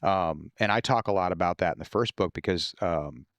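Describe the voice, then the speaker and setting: A low-pitched American man chats as a podcast guest, an author explaining his work. His delivery is informal and sweary. The recording is dry and close, with little room sound. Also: brisk, no echo. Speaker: guest author discussing own novels. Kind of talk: casual podcast conversation.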